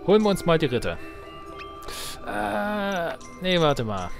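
A man's voice: high voice, sing-song, measured pace, vocal fry, faint music behind. A man giving casual let's play commentary, thinking aloud in colloquial speech. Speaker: let's player.

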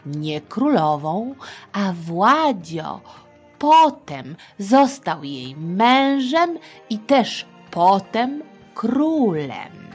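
Slow, playful woman storyteller. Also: medium pitch, very animated, a little mellow, husky, soft background music. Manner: chatty, theatrical, deliberate asides. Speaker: storyteller, podcast host. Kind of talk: playful history storytelling for children.

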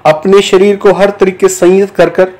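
A man giving guided yoga nidra instruction as a teacher, in measured, deliberate short phrases with pauses between them. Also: high voice, little pitch movement, a little mellow. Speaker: yoga teacher guiding a meditation.

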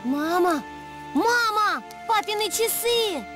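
Very high-voiced plucky boy. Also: expressive, slow, music in the background, full character voice. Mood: excited. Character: eager, plucky young boy. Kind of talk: animated adventure series dubbing.

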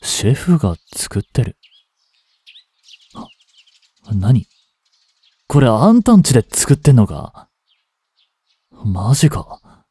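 Man acting a slow-drawling, cocky young street punk. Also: mid-range voice, big pitch swings, warm tone, slightly rough, no echo, heavily acted. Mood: surprised, tempted. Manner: brash, slangy tough-guy talk, drawling. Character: cocky, rough-tongued young male street delinquent, defiant and teasing. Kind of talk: Japanese audio drama acting, one-sided dialogue to the listener.

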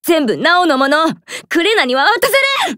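High-pitched possessive fox spirit girl. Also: animated, moderate pace, bright, forceful tone, dry recording, studio quality. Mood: desperate, possessive. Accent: western Japanese dialect. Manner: intense, emotional, drawling. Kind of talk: Japanese voice drama acting.

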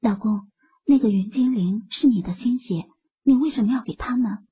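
Woman acting a husky, sweet young wife. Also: on the high side, normal speed. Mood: puzzled, tender. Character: young wife, sweet, tender, coy with her husband. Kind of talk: acted audiobook dialogue. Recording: muffled, band-limited lo-fi audio.

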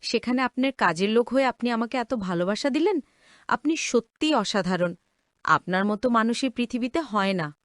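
A meek young cartoon maid, played by a woman, high-voiced with wide swings in pitch. She sounds earnest, wide-eyed and deferential, in a dry studio sound. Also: lively intonation, moderate pace, no room sound, natural voice. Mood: moved, grateful. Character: humble, hardworking young orphaned housemaid, earnest. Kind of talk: cartoon story voice acting.

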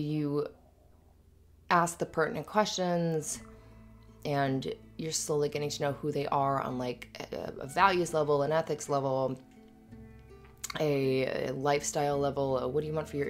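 A woman playing an affectionate, giggly young roommate and girlfriend in an intimate roleplay audio, talking in a halting, rambling, conversational way. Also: low-pitched, close to an everyday voice. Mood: hesitant, sincere.

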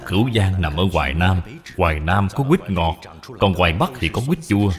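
A man's voice: low-pitched, expressive, moderate pace, faint music behind. A man voicing a humble, dignified father, formal and instructive, in a cartoon.

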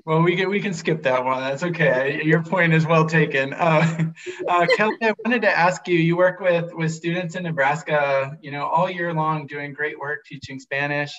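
A man in his forties hosting a video call interview, friendly and measured. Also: fairly high pitch, steady tone, fairly bright tone. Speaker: host. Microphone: laptop webcam video call. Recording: distant, muffled webcam audio.

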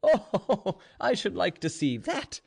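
A man puts on a goading tavern keeper from a storybook tale, with a high voice that leaps up and down. The delivery is exclamatory and taunting, egging the listener on with mockery. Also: very animated, moderate pace. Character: mocking, goading tavern keeper plying a drunkard.

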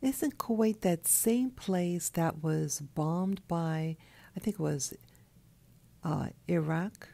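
A woman who has just woken up, talking in a soft, hoarse, half-whispered voice from her bed, slow and halting, with a clip-on mic. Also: fairly low pitch. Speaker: channel host. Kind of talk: early morning monologue. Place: in bed at night.

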